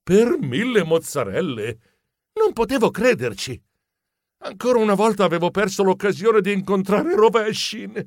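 A man playing an excitable, bumbling, polite mouse journalist who tells his own adventure as theatrical children's storybook narration. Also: high voice, moderate pace, dry recording, studio quality. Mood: eager, flustered. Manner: theatrical, expressive, comic exclamations.

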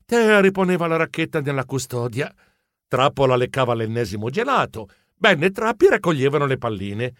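A man playing an excitable, bumbling, polite mouse journalist who tells his own adventure as theatrical children's storybook narration. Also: mid-range voice, normal speed, dry recording, clean studio sound. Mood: eager, flustered. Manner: theatrical, expressive, comic exclamations.

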